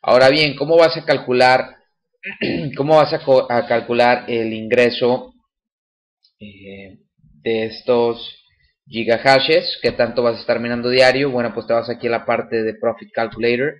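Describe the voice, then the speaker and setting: A man's voice: medium pitch, fairly even intonation, measured pace. A man casually explaining a website step by step, with fillers and restarts. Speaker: channel host demonstrating a website. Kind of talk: casual screen-recorded tutorial.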